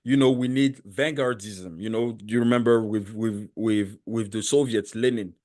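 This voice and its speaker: A man's voice: lowish voice, fairly even intonation, moderate pace, a little mellow. A man who learned English as a second language, hosting a podcast interview and arguing his points earnestly, often restarting his sentences. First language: not English. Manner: earnest, argumentative, building points step by step; frequent restarts and repeated words. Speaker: podcast host. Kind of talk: casual interview chat.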